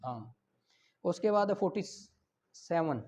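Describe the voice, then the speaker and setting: A man's voice: high-pitched, lively intonation, slow pace, darkish tone. A man with a Pakistani accent lecturing on law, reading out articles and explaining them with rhetorical questions and examples. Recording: dull lo-fi audio.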